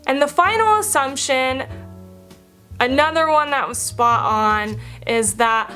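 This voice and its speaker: A candid woman in her thirties talks straight to camera in a casual, chatty way, restarting her sentences as she goes. Her voice sits a little high for a woman. Faint music plays underneath. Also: sharp tone. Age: 30s. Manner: frank and chatty, with quick restarts. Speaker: vlogger answering fan assumptions.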